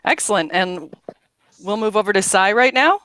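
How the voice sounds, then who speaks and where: A woman's voice: mid-range voice, animated, moderate pace, a bit bright, no echo. A woman from the United States hosting a casual panel chat, introducing guests and guiding the talk. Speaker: host.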